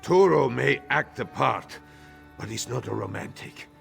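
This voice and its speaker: An actor playing a gruff, roguish mercenary sea captain with a soft heart in a video game, blustery and grudging. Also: male voice, mid-range voice, lively intonation, unhurried, soft background music. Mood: gruff, shrewd. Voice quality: gravelly, creaky. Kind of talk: video game character dialogue.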